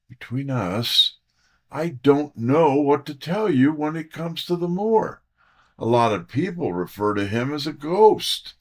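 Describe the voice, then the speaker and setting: A man's voice: a little high, exaggerated voice. A man playing a wisecracking, street-smart detective's sidekick, narrating a hard-boiled audio drama in the first person.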